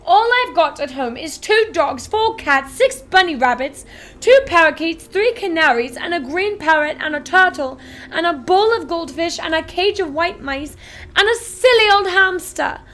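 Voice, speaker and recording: A woman's voice: very high-pitched, animated, moderate pace, fairly bright tone, voice pushed up. A woman reading aloud and voicing a spoiled, demanding little rich girl who whines shrilly and insists on getting her way.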